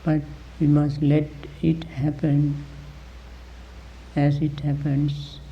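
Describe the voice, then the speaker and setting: A man with a gravelly voice gives guided meditation instruction as a teacher, deliberately, in short phrases with long pauses, on a dull recording. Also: on the high side, monotone, slight background noise.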